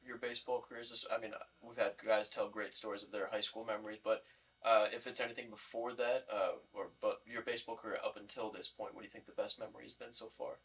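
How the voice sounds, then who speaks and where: Distant-miked man, flat intonation. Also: lowish voice, quick pace, gravelly. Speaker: podcast co-host, interviewer. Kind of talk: casual sports interview. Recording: distant from the mic.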